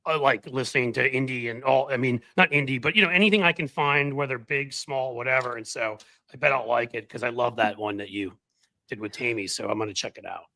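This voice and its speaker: A man's voice: mid-range voice, fairly quick, vocal fry, pushed and bright, dry recording. A man in his fifties chatting casually and informally as a show host. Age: 50s. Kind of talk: casual chat.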